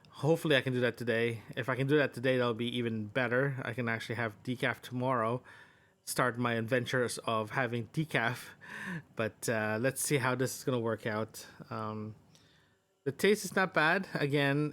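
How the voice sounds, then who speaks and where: Male podcast host, medium pitch, unscripted diary feel, halting delivery that wanders as he thinks aloud. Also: fairly bright tone. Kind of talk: casual audio diary.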